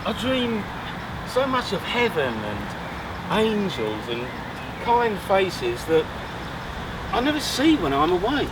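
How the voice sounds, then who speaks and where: A man plays a brooding, theatrical Victorian gentleman, weary of the world. He speaks slowly and haltingly with big swings in pitch, heard a little way from the mic in a noisy recording. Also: huge pitch swings, heavily acted. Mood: yearning. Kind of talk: dramatic literary recitation. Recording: distant, noisy amateur recording.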